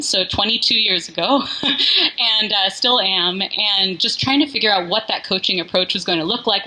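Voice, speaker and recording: A woman's voice: medium pitch, pushed and bright, low-quality recording. A woman in her forties from the Bay Area gives a casual, heartfelt welcome speech as an organization's founder, with frequent fillers and restarts. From Bay Area, California, US. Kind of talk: casual live speech to a party crowd. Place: indoor event venue.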